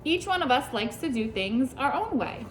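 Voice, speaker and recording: A woman teacher reads a picture book aloud to young children, pausing to ask them questions and praise their answers. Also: high voice, big pitch swings, slight background noise. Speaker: preschool teacher.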